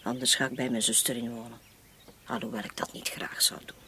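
Discreet housekeeper, slightly noisy recording. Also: female voice, extremely low pitch, level tone, brisk, pushed and bright, exaggerated voice. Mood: discreet, defensive. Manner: hesitant, discreet. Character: loyal, evasive housekeeper woman, protective of household. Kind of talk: radio play acting.

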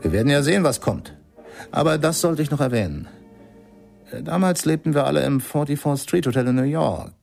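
Reminiscing musician, creaky voice, lively intonation, wry anecdotes, radio drama. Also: male voice, on the high side, brisk, soft background music. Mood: amused, nostalgic. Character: amused male musician reminiscing about old band days. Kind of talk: radio play storytelling.

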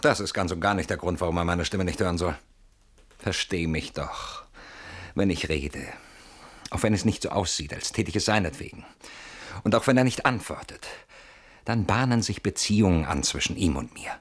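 An actor playing an anxious, stubborn, suspicious husband in a German radio play, brooding and arguing in agitation. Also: male voice, low voice, moderate pace, slightly rough. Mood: stubborn, irritated.

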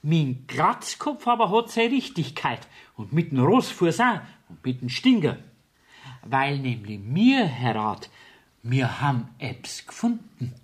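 An actor playing a sly, deferential village court clerk in a radio play, with a southern German dialect and an insinuating manner. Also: male voice, medium pitch, sing-song, a little slow. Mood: careful, insistent. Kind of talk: 1970s radio drama acting in dialect.

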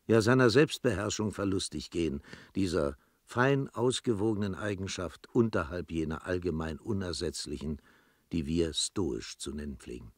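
An actor narrating a literary radio play as a solemn storyteller, in a measured, formal manner. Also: male voice, deep voice, no echo, clean studio sound, full character voice.

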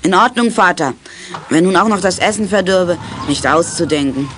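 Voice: mid-range voice, expressive, moderate pace, noisy background, lightly acted. An actor playing an eager, food-loving boy detective with an earnest, exclaiming manner in a children's radio play. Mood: eager, concerned. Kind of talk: 1980s German children's radio play acting.

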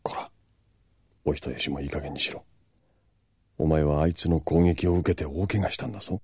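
A haughty vampire lord in an audio drama. His voice is very deep and dark, recorded dry and close. He talks brusquely, with cool self-assurance and a gruff protective streak. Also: normal speed, no room sound, exaggerated voice. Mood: scolding. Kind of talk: Japanese audio drama acting.

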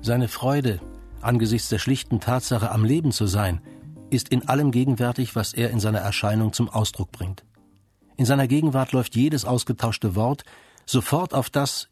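A man narrating a radio feature in a measured, composed, scripted way. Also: medium pitch, darkish tone, husky, no room sound.

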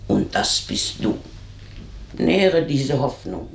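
An actress in a German radio drama playing a blunt, knowing old housemaid who admonishes insistently. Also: female voice, very deep voice, sing-song, slow, vocal fry, heavily acted. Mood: urgent, persuasive. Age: older. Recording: roomy, echoing radio drama recording.

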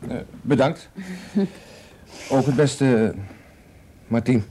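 A man acts a proud police officer in a radio play, with a mid-range voice. He talks in halting, formal phrases and sounds guarded and easily stung before his superior. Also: medium pitch, fairly even intonation, mellow, a little hiss or hum, lightly acted. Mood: awkward. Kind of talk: Dutch radio drama acting.

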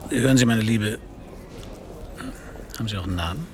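A man's voice: lowish voice, moderate pace, background noise, close to an everyday voice. An actor playing a hard-boiled, stubborn male private detective in a radio crime play, talking in a dry, laconic, sardonic way. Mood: probing, skeptical. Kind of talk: first-person radio crime drama narration and dialogue.

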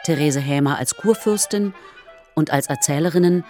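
A woman's voice: sits low, normal speed, darkish tone, soft background music. A woman announcer reading out scripted radio play credits.

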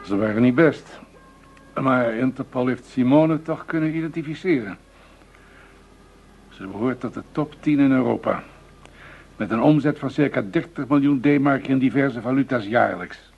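Stern police superior, gravelly, husky, matter-of-fact, radio play, muffled recording. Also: male voice, medium pitch, normal speed. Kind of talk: radio drama acting.

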